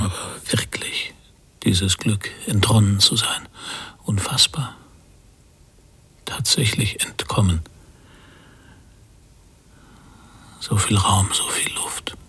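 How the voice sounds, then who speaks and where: An actor playing a pensive emigrant father at sea in a radio drama, speaking breathily in halting, introspective phrases, often near a whisper. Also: on the low side, a bit bright, slightly rough, exaggerated voice. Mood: awed relief. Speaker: narrator and lead character. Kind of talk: literary radio drama monologue.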